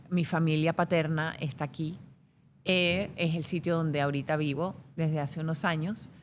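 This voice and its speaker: A middle-aged woman with a Venezuelan Spanish accent and a fairly low voice, talking in a reflective interview. She thinks aloud, hesitating and restarting her sentences. A faint rumble sits under the recording. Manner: thoughtful, with frequent hesitations and restarts. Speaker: guest.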